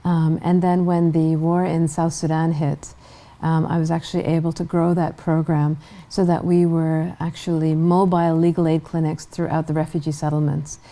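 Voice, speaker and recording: A middle-aged woman from Guyana, a human rights director, answering interview questions in a measured way with frequent restarts. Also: low voice, little pitch movement, warm tone.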